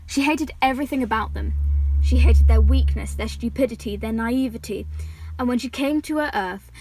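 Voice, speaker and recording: A young woman with a fairly high voice works as an amateur reader and host. She is informal and prone to small stumbles and self-corrections. The sound is dry, with very little room on it. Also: no room sound. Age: teenager or young adult, still at school. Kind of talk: reading a story aloud with casual asides.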